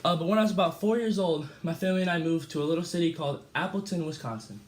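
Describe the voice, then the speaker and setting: A man's voice: on the high side, racing, a little hiss or hum, natural voice. A young man playing a cheerful famous escape artist being interviewed, talking casually with fillers and small slips. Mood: easygoing. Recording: echoey room.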